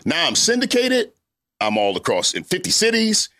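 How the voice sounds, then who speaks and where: A middle-aged man with a deep voice hosting a talk show, telling stories about himself with laughter and self-mockery. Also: huge pitch swings, moderate pace, bright, forceful tone, no echo. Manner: laughing, self-mocking storytelling. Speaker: show host. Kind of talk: casual chat.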